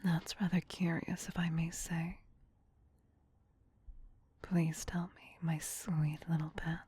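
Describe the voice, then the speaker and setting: Slow, whispering vampire queen, played by a woman. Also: low voice, little pitch movement, heavily acted. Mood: softly curious. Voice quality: soft, breathy whisper. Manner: slow, doting, soothing. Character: tender, possessive ancient vampire queen soothing her pet. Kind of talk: whispered ASMR roleplay.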